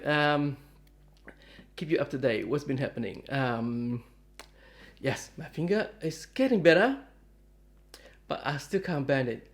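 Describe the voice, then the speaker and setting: Fifties male host, rambling and friendly, mid pitch, lots of fillers. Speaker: guitar dealer and channel host. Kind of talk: casual chat to camera. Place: home in Melbourne, Australia.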